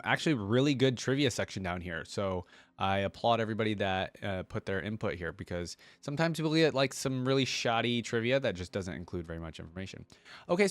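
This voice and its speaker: A man with a fairly deep voice hosts a reaction video. He reads written text aloud and comments on it in a casual, conversational way. The sound is very dry, with no room around it. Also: no echo.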